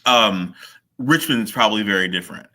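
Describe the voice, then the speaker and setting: A man's voice: fairly low pitch, normal speed, pushed and bright. A man from Virginia, a guest author in an interview, who talks in a thoughtful, hesitant way with many fillers. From Virginia, USA. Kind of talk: casual interview.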